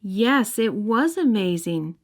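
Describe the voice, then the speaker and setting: A woman's voice: mid-range voice, big pitch swings, slow pace. A woman reading a story aloud expressively for young children. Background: music.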